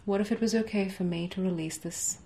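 A woman's voice: mid-range voice, fairly even intonation, dark tone. Soothing woman leading a tapping session. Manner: slow, soothing, rhythmic repetition. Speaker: EFT tapping coach. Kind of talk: guided tapping session, repeat-after-me phrases. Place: home office with framed certificates, indoors.

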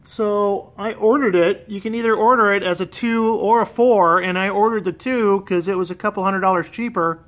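A man's voice: high voice, fairly bright tone. An American man giving a casual, unscripted walkthrough as a DIY restorer, hesitant and thinking aloud. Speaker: DIY boat restorer and vlogger. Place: garage with concrete floor. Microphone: handheld camera, operator behind it.

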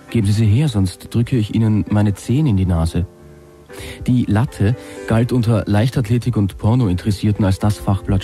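Low, mellow deadpan man. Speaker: comedian reading his own comic story. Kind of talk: deadpan comic reading with casual chat.

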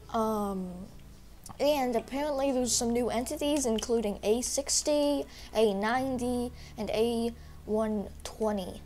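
Sick young girl doing a slow rambly game walkthrough, bit high pitched, faint hiss. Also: a little mellow. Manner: rambling, filler-heavy, hesitant. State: sick, voice a little rough. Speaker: gaming YouTuber. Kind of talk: casual gameplay walkthrough.

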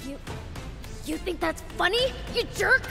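A woman's voice: extremely high pitch, animated, a little slow, gravelly, big-room sound, over music, heavily acted. A woman voicing a brash, cocky young male demon in a video game, with a scrappy, defiant manner. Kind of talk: animated video game voice acting.

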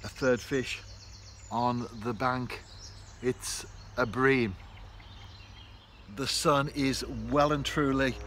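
A man, talking to camera in a relaxed, reflective way while fishing on a canal bank. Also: medium pitch. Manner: chatty, reflective, speaks straight to camera. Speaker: fishing vlogger. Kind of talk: relaxed outdoor vlog narration. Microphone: camera close in front. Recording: faint outdoor noise. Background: birds and countryside ambience.

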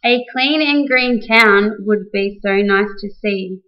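A woman's voice: mid-range voice, slow, highs cut off, lightly acted. A woman playing a hopeful, proud townsperson in an amateur rhyming puppet show, heard at a distance from the mic. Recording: distant mic, homemade video.

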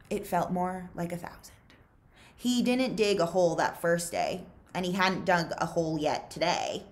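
An American woman in her thirties reading a children's story aloud with deliberate, dramatic emphasis, as a librarian would. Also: fairly low pitch. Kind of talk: expressive children's audiobook-style read-aloud. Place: small quiet living room with bookshelves.

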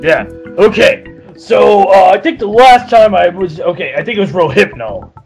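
A man as a high, goofy cartoon ape. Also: animated, normal speed, gravelly, soft background music. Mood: baffled. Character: goofy, whiny, banana-loving big male ape. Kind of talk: amateur cartoon parody dub.

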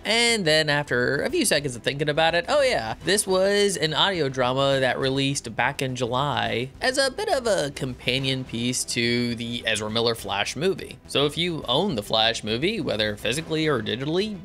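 A man's voice: mid-range voice, moderate pace, few pauses, faint music behind. A man in his thirties talking expressively and conversationally in a casual review, close on the microphone in a home studio. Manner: expressive, conversational, acting out reactions and quotes. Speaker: YouTube reviewer and host. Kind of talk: casual spoken review to camera. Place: small home studio room. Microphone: close microphone on a boom arm.

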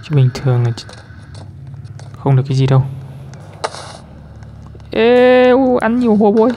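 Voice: medium pitch, very animated, a little slow, dark tone, lo-fi sound, slight background noise. A person giving casual, exclamatory commentary as host of a gameplay video. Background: music.

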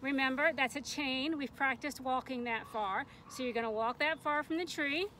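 A woman's voice: high-pitched, normal speed, sharp tone, rough recording. A middle-aged American woman giving an informal, plain-spoken instructional demonstration outdoors in a park. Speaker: extension forester, instructor. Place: outdoors in a grassy, tree-lined park. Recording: outdoor ambient noise.

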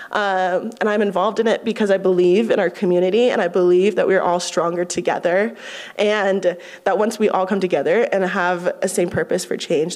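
A young woman giving an earnest, measured personal testimony at a church lectern, with occasional ums. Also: mid-range voice, a little mellow. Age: young adult. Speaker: guest speaker. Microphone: lectern mic.

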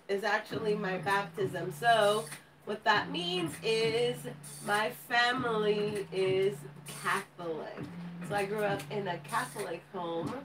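A woman's voice: a little high, distant mic, noisy background. A woman of about thirty with an American accent, hosting a casual livestream chat for viewers. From Los Angeles, United States. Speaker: video channel host. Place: home kitchen, indoors. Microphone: camera a few feet away. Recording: roomy pickup away from the mic, music behind.

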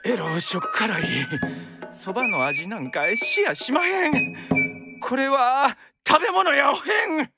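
Fussy high-pitched Kyoto nobleman. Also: expressive, measured pace, music in the background, exaggerated voice. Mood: disgusted, flustered. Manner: genteel, easily flustered. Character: fastidious Kyoto nobleman, lost in busy Tokyo. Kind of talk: radio drama acting.

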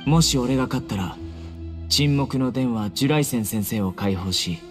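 Guy doing serious stoic anime game warrior, terse, medium pitch, music behind. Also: lively intonation, moderate pace, lightly acted. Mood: stern. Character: stern, stoic young male warrior judge. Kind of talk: Japanese anime game voice acting.

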